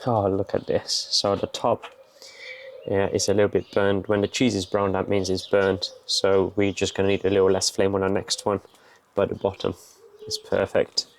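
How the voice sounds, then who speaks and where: A young man with a Bulgarian accent, casually explaining as he cooks outdoors in a garden, relaxed and matter-of-fact. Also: deep voice, normal speed, creaky, a little hiss or hum. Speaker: vlogger. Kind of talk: casual explaining while cooking.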